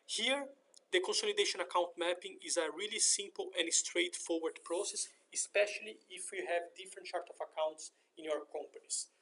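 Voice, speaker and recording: A man narrating a step-by-step software screen tutorial in a methodical, explanatory manner. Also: high-pitched, steady tone, moderate pace, poor audio. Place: voice-over for a screen recording.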